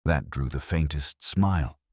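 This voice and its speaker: A man playing a reflective, sceptical English detective, narrating a mystery story in a measured, literary, brooding manner. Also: rumbling low, darkish tone, no echo, studio quality. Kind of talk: scripted first-person mystery narration.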